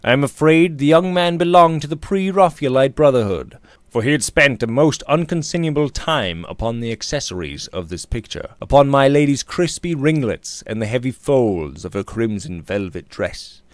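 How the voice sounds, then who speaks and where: Expressive man reading a Victorian novel, dry sound. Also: medium pitch, no room sound. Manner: theatrical, measured, emphatic phrasing. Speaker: audiobook narrator. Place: small indoor room. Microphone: studio condenser microphone on a boom arm, close up.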